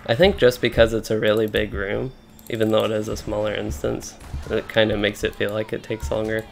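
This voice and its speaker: A man's voice: lowish voice, flat delivery, a little fast, vocal fry, faint music behind. A young man from Kentucky, casually commenting while he plays an online game, thinking aloud with many fillers and restarts. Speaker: game streamer.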